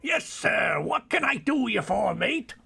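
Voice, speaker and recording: A man playing a salty old sailor tattoo artist with a gravelly rasp and nautical slang, in an old-time radio drama. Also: sits high, very animated, unhurried, sharp tone, soft background music, exaggerated voice. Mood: hearty. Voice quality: gravelly, raspy. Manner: nautical slang, calls people mate.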